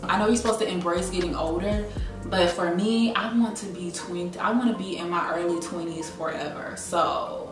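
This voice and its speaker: A woman's voice: lowish voice, normal speed. An American woman in her late twenties from South Carolina, chatting casually to camera and addressing viewers like girlfriends. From South Carolina, United States. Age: late 20s. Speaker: YouTube content creator. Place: indoors, living room at home. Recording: echoey room, distant mic, music behind. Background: background music.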